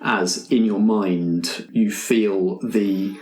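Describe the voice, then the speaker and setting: A man running a tabletop game as game master, improvising scene narration addressed to the players in halting phrases. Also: on the low side, fairly even intonation, darkish tone. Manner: second-person scene-setting in halting phrases. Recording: echoey room.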